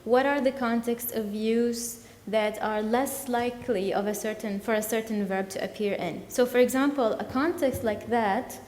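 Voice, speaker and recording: A woman whose first language is Arabic, giving an academic conference talk, halting and full of fillers and self-corrections. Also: fairly high pitch, darkish tone. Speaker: conference presenter. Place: lecture hall. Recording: echoey hall with long reverb.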